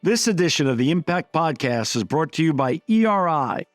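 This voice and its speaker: A man's voice: fairly high pitch, very animated, moderate pace, no room sound, soft background music. A middle-aged man hosting an interview podcast, reading the sponsor spots and welcoming his guest with enthusiasm. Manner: enthusiastic, effusive. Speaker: podcast host. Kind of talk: podcast hosting and read ads.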